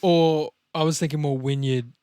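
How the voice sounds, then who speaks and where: A man's voice: a little high, fairly even intonation, moderate pace, warm tone, smooth, dry recording, clean studio sound. An Australian man hosting a podcast in casual, crude banter, blokey and swearing freely. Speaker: podcast host.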